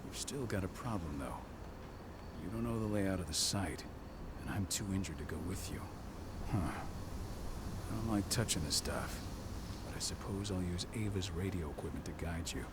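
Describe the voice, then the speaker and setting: A stern werewolf pack leader from a video game, low and gruff. He talks bluntly and with authority over loud ambient noise. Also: animated, moderate pace. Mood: gruff, practical. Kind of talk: video game cutscene acting. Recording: loud ambient rumble behind.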